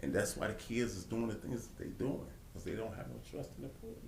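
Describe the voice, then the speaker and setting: A gravelly-voiced man pleads from a distance at a council podium, issuing short, clipped demands. The chamber echoes, and noticeable noise hangs in the background. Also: fairly low pitch, fast talker, darkish tone. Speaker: public commenter. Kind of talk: impassioned public comment.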